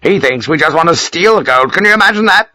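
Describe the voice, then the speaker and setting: A man voicing a gruff, pious older army major who talks in curt, self-important bursts. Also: on the high side, lively intonation, fast talker, sharp tone, no echo, pitched above the performer's own voice. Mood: grumbling. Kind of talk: audiobook character voice.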